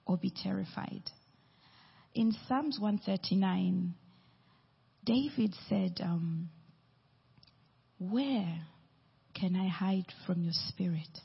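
Slow, breathy woman preaching from Uganda. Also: mid-range voice, long reverb tail, lo-fi sound. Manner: slow, deliberate, lingering over names. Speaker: church speaker. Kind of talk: sermon teaching on prayer.

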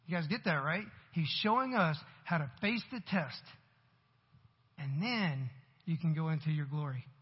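A man in his forties preaches in a husky, fairly high voice, chatting with the congregation one moment and pushing hard on a line the next. His sermon rings with a long church-hall reverb. The recording sounds muffled and lo-fi. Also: expressive, moderate pace. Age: 40s. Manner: conversational preaching with rising emphatic bursts. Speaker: pastor. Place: church sanctuary.

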